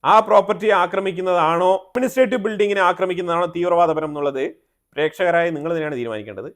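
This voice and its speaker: Fast-talking Malayali man, higher-pitched voice. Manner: repeats and rephrases phrases, mixing in English terms. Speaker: news commentary host. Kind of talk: explanatory news commentary to viewers.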